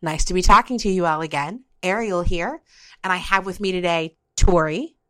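A woman podcast host with a slightly low voice, recorded close and dry. She speaks in a conversational way, as someone leading a discussion. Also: normal speed, a bit bright, no echo.

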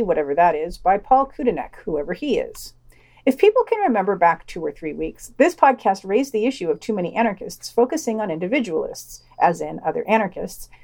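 Female podcast host, mid pitch, animated melody, scripted read with dry wit. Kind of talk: scripted news-and-opinion reading with wry commentary.

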